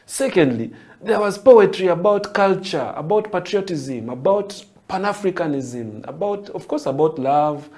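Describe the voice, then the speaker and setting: A young Ugandan man, a poet, answering interview questions with animated storytelling and frequent restarts. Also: high-pitched, unhurried. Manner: animated storyteller, repeats words and restarts phrases. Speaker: poet and writer, interview guest.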